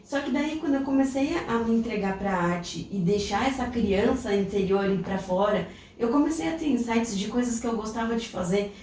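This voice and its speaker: A woman's voice: on the high side, normal speed, few pauses, darkish tone. A Brazilian woman co-hosting a podcast, telling a personal story in a reflective, casual way. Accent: Brazilian Portuguese. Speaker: podcast co-host. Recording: echoey room, distant mic, faint noise.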